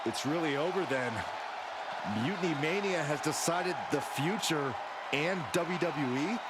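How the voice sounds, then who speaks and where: A man's voice: on the high side, unhurried, close to an everyday voice. A man playing an alarmed, earnest wrestling announcer calling play-by-play in a video game. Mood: despairing. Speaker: wrestling commentator. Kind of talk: excited play-by-play sports commentary. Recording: loud arena crowd noise behind.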